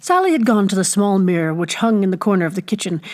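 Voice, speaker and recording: A woman reading aloud in an expressive, scripted storybook narration style. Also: mid-range voice, fast. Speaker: audiobook reader.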